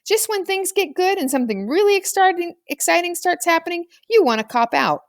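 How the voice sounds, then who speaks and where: Woman voicing an excitable, high-pitched defiant boy. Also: fairly quick, no echo, clean studio sound. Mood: scornful, excited. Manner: slangy, challenging. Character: defiant, excitable boy egging on his friends. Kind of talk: children's novel read aloud.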